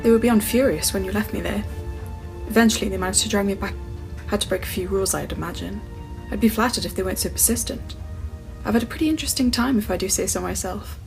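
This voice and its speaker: Woman playing poised female alien scientist, audio drama, medium pitch, composed, articulate, music in the background. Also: fast, gravelly, close to an everyday voice, poor audio.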